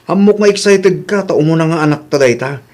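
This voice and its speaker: A man's voice: a little high, a little fast, a little mellow, close to an everyday voice. A man with a Filipino Ilocano accent playing a sceptical, thrifty husband and father-to-be who grumbles in a radio comedy drama. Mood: sceptical, grumbling.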